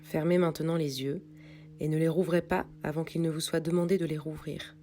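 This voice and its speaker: A woman reading a guided yoga nidra meditation in a calm, soothing, unhurried way with pauses. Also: deep voice, slight background noise. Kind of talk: guided meditation read from a script.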